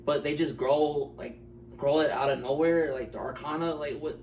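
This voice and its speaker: A man talking off the cuff as co-host of a film review, blunt and incredulous, often halting mid-thought. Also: on the high side, fairly even intonation, darkish tone. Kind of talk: casual, unscripted film review chat. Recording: echoey room, distant mic, faint noise.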